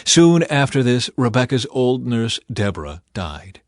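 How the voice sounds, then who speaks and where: A man narrating scripture as an audiobook reader, in a measured, solemn way. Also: mid-range voice, sing-song, dry recording, studio quality.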